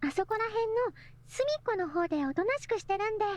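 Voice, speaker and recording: Cheeky teenage girl, very high-pitched. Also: animated, rapid-fire. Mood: politely persistent. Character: cheeky, playful teenage girl who teases boldly. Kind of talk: Japanese visual novel voice acting.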